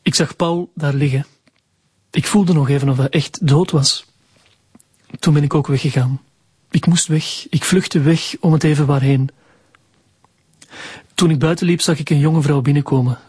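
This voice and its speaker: Distressed high-voiced young Flemish son. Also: male voice, steady tone, moderate pace, pauses often, a little mellow, slightly rough, dry recording. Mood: shaken confession. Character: distressed young son, earnest, shaken, defiant. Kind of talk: Flemish radio drama acting.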